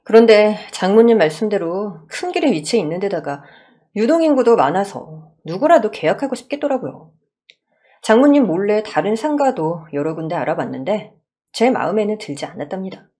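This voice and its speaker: A woman's voice: mid-range voice, very animated, moderate pace, mellow, husky. A woman reading a scripted first-person story as a dramatized narration.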